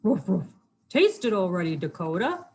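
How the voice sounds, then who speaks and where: A woman's voice: mid-range voice, sing-song, normal speed, darkish tone. A woman voicing a bossy, good-natured dog in a children's story, barking between phrases. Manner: barks "ruff" between phrases.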